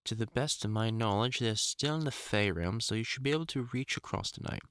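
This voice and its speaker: A man playing a gruff but caring, experienced vampire hunter in an audio roleplay, middle-aged, talking informally with dry, teasing asides. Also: on the low side, a little fast, fairly bright tone, dry recording. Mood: reading aloud, measured. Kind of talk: intimate audio roleplay acting.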